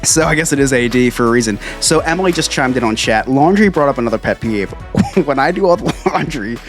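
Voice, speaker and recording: A man's voice: medium pitch, expressive, quick pace, faint music behind. Rambling tipsy man with a New Jersey accent. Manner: rambling, self-mocking, laughs a lot. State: a bit tipsy. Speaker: podcast co-host. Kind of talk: casual chat.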